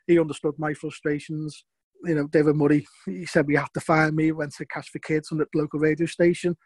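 A middle-aged Scouse man talks through old stories in a loose, rambling way, stumbling over repeated words. His voice sits a touch high for a man, and the recording is close and dry with no room sound. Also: level tone, a little fast, a little mellow, slightly rough, no echo. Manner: rambling, repeats words, chuckles between points. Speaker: former footballer. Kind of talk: interview storytelling.

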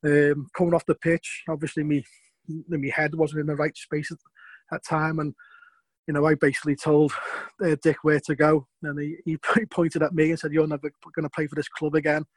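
A man's voice: on the high side, completely flat delivery, a little fast, no echo, low-quality recording. Scouse man telling stories, dry sound. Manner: rambling, repeats words, chuckles between points. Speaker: former footballer. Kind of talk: interview storytelling.

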